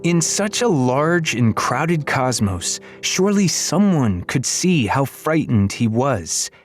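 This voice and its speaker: A man's voice: medium pitch, takes it slowly. Man narrating fantasy audiobook over music. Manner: expressive storytelling. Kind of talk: scripted dramatic narration of a children's fantasy novel.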